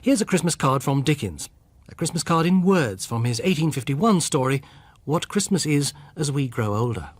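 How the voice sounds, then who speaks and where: A man's voice: on the high side, animated, normal speed, no room sound. A man presenting scripted narration in a conversational storytelling way, speaking directly to the listener.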